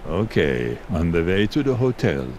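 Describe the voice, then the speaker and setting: An actor playing a deadpan, unhelpful small-town man of few words in a video game, answering in terse, clipped replies. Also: low voice, moderate pace, a little hiss or hum. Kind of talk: video game character dialogue.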